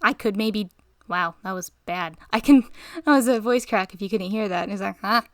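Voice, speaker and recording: A tired young woman with a slightly raspy voice, rambling casually in a voice-over while she draws, interrupting herself and giggling. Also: mid-range voice, moderate pace, no room sound, clean studio sound. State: tired, short on sleep. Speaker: art channel host.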